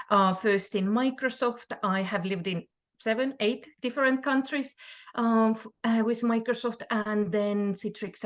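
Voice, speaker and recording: Middle-aged woman, medium pitch. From Estonia. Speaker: sales leader on a panel. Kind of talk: conversational self-introduction on webinar. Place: online video call from an office.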